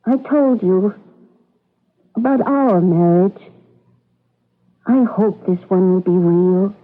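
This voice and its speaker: A gentle, hopeful young wife from a dystopian radio play, voiced by a woman. She speaks very slowly at an average pitch, probing softly with questions. The recording is narrow and phone-like. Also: close to an everyday voice. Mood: hopeful, pleading. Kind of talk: 1980s radio drama acting. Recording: band-limited old radio broadcast, music underneath.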